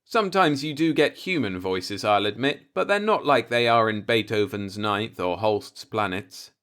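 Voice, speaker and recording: A man's voice: on the low side, animated, normal speed, fairly bright tone, smooth. A man reading the part of a brooding, disillusioned professor in an audiobook, musing in a pointed, rhetorical monologue. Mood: sardonic wonder. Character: brooding, disillusioned male professor philosophizing bitterly.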